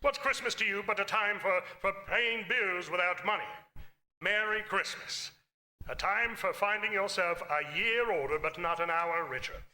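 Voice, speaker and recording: An actor playing Scrooge, a bitter and miserly old man, with a gruff, scornful, snarling delivery in the style of 1940s radio drama, recorded live on stage. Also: high voice, normal speed, bright, forceful tone. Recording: live stage with long reverb.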